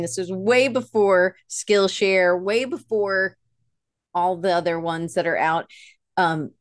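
Chatty, enthusiastic woman hosting, slightly low-pitched. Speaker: host of a live online show. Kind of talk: enthusiastic, informal guest introduction.

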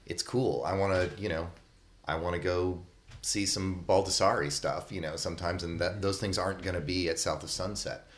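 A man's voice: low-pitched, fairly even intonation, moderate pace. A man hosting a radio show, talking casually in a conversation about the art scene.

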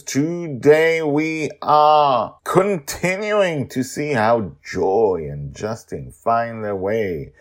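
A man hosting a story podcast, narrating scripted fiction in a theatrical way with dramatic pauses and swoops. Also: lowish voice.

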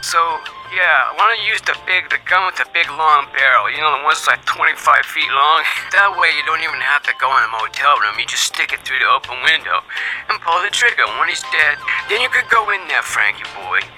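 A bossy gangster boss, put on by a man for a comic radio play. The voice sits high for a man and hands out crooked orders in drawn-out mob talk, with music clearly playing behind. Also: animated, pushed and bright, rough recording. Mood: scheming. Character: wheedling old-time mob boss phoning his hitman.

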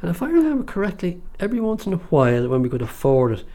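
A man's voice: high-pitched, wildly swooping pitch, racing, warm tone, heavily acted. An easygoing husband in a radio drama. He chats in a casual, teasing, domestic way, like an everyday partner at home. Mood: teasing, cheerful.

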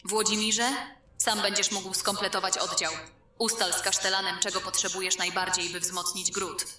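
A bright, forceful queen acted by a woman, imperious and forthright, in a fantasy radio play. The voice rings in a heavy echo, with formal, commanding delivery. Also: medium pitch, level tone, normal speed, natural voice. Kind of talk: fantasy audio drama acting. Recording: strong echo, faint music behind.

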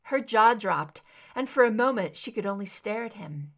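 A woman's voice: medium pitch, lively intonation, moderate pace, a bit bright. A woman reading a scripted story aloud as an amateur audiobook narrator.

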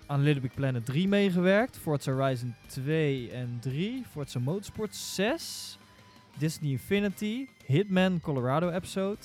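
A man's voice: fairly high pitch, expressive, normal speed, darkish tone, faint music behind. A man on a casual gaming podcast, thinking aloud in a hesitant way. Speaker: podcast panellist.

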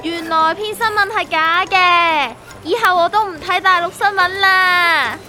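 A woman with a Hong Kong Cantonese accent playing a naive, excitable novice stock investor in a comedic radio drama. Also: very high voice, unhurried, slight background noise, full character voice. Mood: disappointed. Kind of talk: scripted comedic dialogue. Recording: music under the voice.

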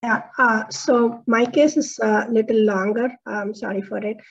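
A woman's voice: a little high, fairly even intonation, a little slow, vocal fry, breathy edge, muffled recording. A woman from India calling into an advice show, explaining at length with many hesitant fillers. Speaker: caller.

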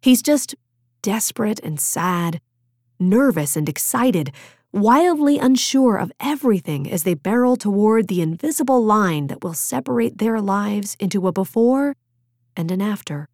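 A woman reading a novel as an audiobook narrator, measured and expressive in her storytelling. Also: mid-range voice, dry recording, studio quality.

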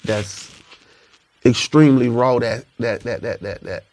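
A man's voice: measured pace, a little mellow. A man, a rapper guest speaking in a laid-back, reflective way. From Panama City, Florida. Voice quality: high-pitched voice. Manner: laid-back, reflective, repeats "you know what I'm saying". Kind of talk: casual interview chat.

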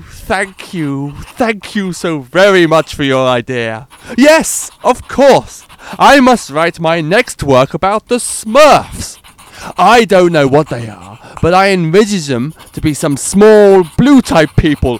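A man putting on a pompous playwright. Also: on the high side. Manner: theatrical letter reading, drawn out. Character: male playwright Chekhov, pompous and dim.

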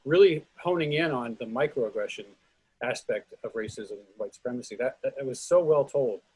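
A man's voice: medium pitch, brisk, darkish tone, husky, poor audio. A man hosting a casual discussion, rephrasing tentatively as he goes. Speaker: host of a readers theatre series.

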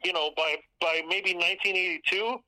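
A Californian man in his fifties with a very bright, slightly high voice talks through memories of his youth. He is measured and reflective, circling back and restarting lines as he goes. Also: fairly even intonation, poor audio. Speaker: guest. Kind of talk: casual conversation.

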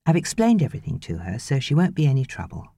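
A British woman voicing a brisk, fussing young mother in an audiobook. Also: a bass voice, wildly swooping pitch, mellow, slightly rough, no room sound, studio quality, full character voice. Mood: careful, fussing. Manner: brisk, instructing.

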